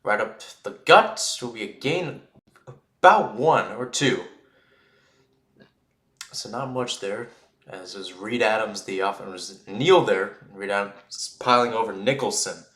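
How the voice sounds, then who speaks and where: A man calling live American football play-by-play in an excitable radio style, mixing big calls with casual asides. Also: fairly low pitch, slow. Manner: excitable, calls surging plays loudly then drops back to casual asides. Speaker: play-by-play sports commentator. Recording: roomy echo on the voice.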